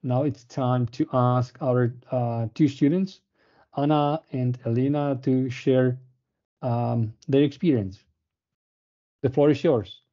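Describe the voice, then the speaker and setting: A man's voice: mid-range voice, steady tone, takes it slowly, warm tone. A man presenting a university programme in a webinar, speaking hesitantly with many fillers and restarts. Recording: muffled lo-fi webinar audio.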